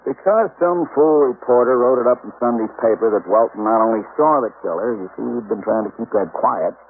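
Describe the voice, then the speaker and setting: A man playing a gruff, grumbling American police detective in a 1950s radio drama, exasperated and defensive. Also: mid-range voice, a little fast, close to an everyday voice. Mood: weary, irritated. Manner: grumbling, hesitant when defensive. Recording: tinny old radio recording, faint noise.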